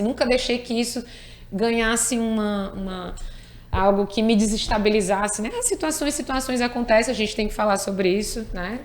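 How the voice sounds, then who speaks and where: A woman, a tax lawyer talking earnestly in an interview and repeating key words for emphasis. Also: medium pitch. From Piauí, Brazil. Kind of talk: conversational interview.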